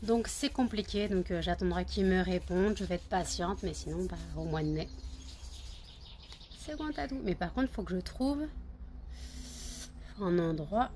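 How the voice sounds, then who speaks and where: A woman's voice: on the low side, expressive, a little slow, pauses often, mellow, slight background noise. A woman vlogger chatting casually to camera, rambling with many fillers and repeated words. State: just woken up, groggy.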